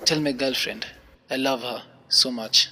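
A man's voice: mid-range voice, unhurried, noisy background, close to an everyday voice. A man with a Kenyan accent playing a harassed young media intern in a radio drama. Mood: despairing.

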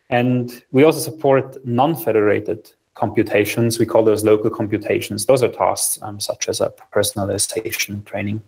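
A young man gives a technical talk from a small room over a webcam, his voice sitting fairly low. He explains in a steady, methodical way, dropping in ums as he goes. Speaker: software engineer presenting a research platform. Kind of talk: technical conference talk over video call. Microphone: video call, webcam framing.

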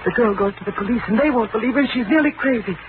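A nervous young newlywed, voiced by a woman in an old radio drama, fretful and quick to fear. Her voice is breathless and uneasy. The sound is dull and hissy like an aged broadcast. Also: fairly high pitch, lightly acted. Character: anxious young newlywed bride. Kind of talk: 1940s radio acting.

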